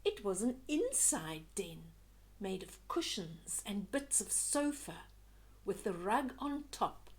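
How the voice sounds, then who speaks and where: An older woman reading a children's picture book aloud expressively, pausing to chat to young listeners about the pictures. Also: mid-range voice, very animated, a little mellow.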